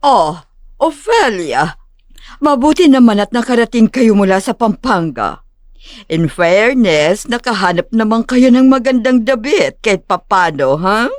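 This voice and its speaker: A woman's voice: a little high, expressive, unhurried, no room sound, studio quality. A woman playing a rich, middle-aged, condescending mother in an audio drama, theatrical and snobbish, sprinkling English phrases into her speech. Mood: condescending, mock-polite.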